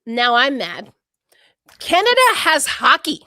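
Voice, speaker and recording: A woman's voice: sits high, sing-song, slow, sharp tone, no room sound. Blunt woman host, dry close sound. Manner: blunt, exasperated, rambling asides. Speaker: podcast host. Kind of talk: casual sports chat.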